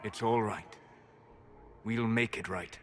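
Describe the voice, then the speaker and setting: A reflective male elf scholar for a fantasy game. He speaks in a measured, rather low voice over faint ambient noise. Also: steady tone, fairly bright tone. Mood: gentle. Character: calm, scholarly male elf mage, wondering. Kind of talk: dramatic fantasy game acting.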